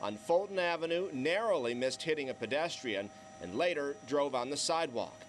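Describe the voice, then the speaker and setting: A man reports the news from the field in a slightly high-pitched voice. His delivery is that of scripted television journalism. Faint traffic noise sits behind him on a city street. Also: animated, a little fast.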